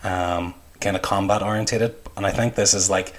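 A man's voice: low voice, fairly even intonation, normal speed. A man co-hosting a podcast in casual conversation. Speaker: podcast co-host. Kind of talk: casual chat.

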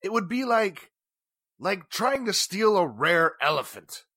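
A man playing a formal, indignant businessman and bitter ex-husband in 1940s radio drama style, with deliberate, emphatic phrasing. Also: sits high, big pitch swings, pushed and bright, breathy edge, no echo, studio quality, full character voice. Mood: indignant, accusing.

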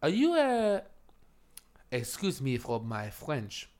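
A man's voice: mid-range voice, huge pitch swings, slow, darkish tone. A man playing a guest director in a comedic mock interview, mock-earnest and playful.

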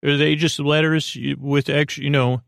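A man with a creaky, gravelly voice hosting a sleep podcast in a meandering, halting, goofy monologue that keeps interrupting itself. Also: fairly high pitch, level tone, fairly bright tone, dry recording, studio quality. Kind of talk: rambling bedtime monologue.

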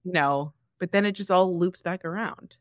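A woman's voice: on the low side, moderate pace, dry recording, clean studio sound. A woman co-hosting a podcast, chatting casually in a blunt, wry and playful way. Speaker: podcast co-host. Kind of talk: casual chat.

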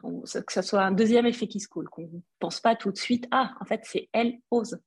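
A woman's voice: medium pitch, brisk, breathy edge, dry recording. A woman from Algeria whose first language is Arabic, a brand founder telling her story in an interview, reflective and hesitant, with many fillers. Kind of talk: podcast interview.

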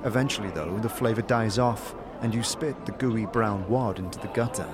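A man narrating a fantasy audio drama in a measured, descriptive storytelling manner. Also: lowish voice, music in the background, close to an everyday voice. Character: male storyteller of a grim fantasy adventure. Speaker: narrator and protagonist. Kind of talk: scripted second-person fantasy narration.

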